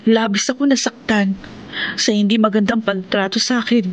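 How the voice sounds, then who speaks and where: A woman's voice: mid-range voice, steady tone, moderate pace, a little hiss or hum, close to an everyday voice. An actress with a Filipino accent playing a sensitive, heartbroken young woman in an emotional radio drama. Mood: sorrowful narration. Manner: emotional, plaintive. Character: heartbroken young woman, sensitive and self-pitying, confiding her troubles. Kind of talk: Filipino radio drama acting and letter narration. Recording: loud music under the voice.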